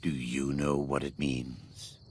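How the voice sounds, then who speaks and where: An actor playing a devout, vengeful former warlord in a video game, speaking in a solemn, measured, preacherly way with a gravelly voice. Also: male voice, extremely low pitch, level tone, a little hiss or hum. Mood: solemn, resolute. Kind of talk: video game dramatic dialogue.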